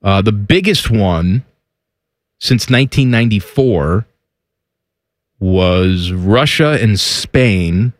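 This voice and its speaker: A man's voice: fairly low pitch, lively intonation, takes it slowly, pauses often, no echo. A man co-hosting a casual podcast chat with dry, teasing humor. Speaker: podcast co-host.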